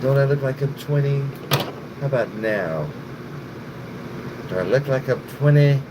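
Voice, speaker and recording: A man's voice: mid-range voice, a little slow. A middle-aged man hosting a casual livestream, rambling and cursing freely as he answers viewers in the chat by name. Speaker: livestream host. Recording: noticeable background noise, air conditioning hum.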